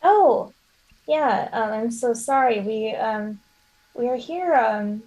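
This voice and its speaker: A woman improvises as a player in a tabletop game. Her voice is mellow and husky. She talks casually and apologetically, often halting as she searches for words. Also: a little high, lively intonation, poor audio. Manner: hesitant, apologetic, many trailing restarts. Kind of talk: casual chat and improvised role-play.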